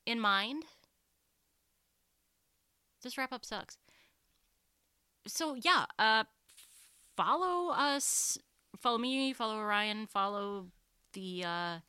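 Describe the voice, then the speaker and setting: Woman podcast host, giggly and loose, bright tense voice, dry close sound. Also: a little high, clean tone, dry recording. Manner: giggly, loose, trails off with fillers. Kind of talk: casual podcast chat.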